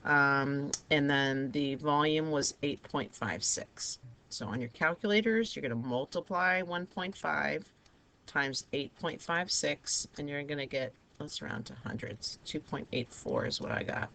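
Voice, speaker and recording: A woman teaching a math lesson with a raspy, hoarse voice, talking students through each step of the problems. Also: sits low, a little slow, fairly bright tone. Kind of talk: recorded math lesson over a digital whiteboard.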